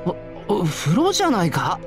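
A man's voice: sits high, wildly swooping pitch, normal speed, full character voice. A man playing an earnest, easily flustered teenage boy in an anime-style game, speaking in an awkward, evasive way. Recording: loud background music under voice.

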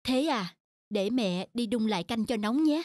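A woman's voice: fairly high pitch, expressive, measured pace, darkish tone, dry recording, clean studio sound. A woman voicing a caring but insistent widowed mother in a cartoon drama, coaxing firmly. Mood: firm, concerned. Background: background music.

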